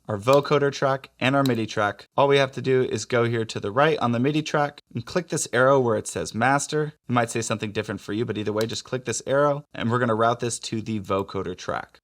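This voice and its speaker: Fast-talking man giving a casual tutorial. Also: medium pitch. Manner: informal, enthusiastic. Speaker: music production tutorial host.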